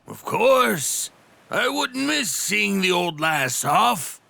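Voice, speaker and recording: An actor playing a jolly, boastful, theatrical seafarer with a raspy voice in an audio drama. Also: male voice, sing-song, drawn-out words, pushed and bright, no echo, exaggerated voice. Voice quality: raspy, high.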